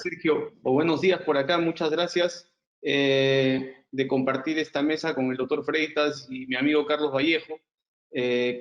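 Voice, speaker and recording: A man from Peru, a physician moderating the panel, speaks politely and a little haltingly on a video call. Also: mid-range voice. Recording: dull lo-fi video call audio.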